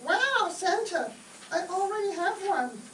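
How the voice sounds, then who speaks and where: Woman playing a very high-voiced eager little boy. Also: big pitch swings, a little slow, fairly bright tone, heavily acted. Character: eager, wide-eyed little boy on Christmas morning. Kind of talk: comic radio skit acting. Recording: echoey, distant stage recording, faint noise.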